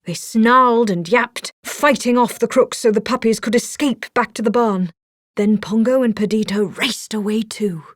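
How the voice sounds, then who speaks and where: A woman narrating a children's read-along storybook in an expressive, dramatic storytelling manner. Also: a little high, moderate pace, no echo, studio quality.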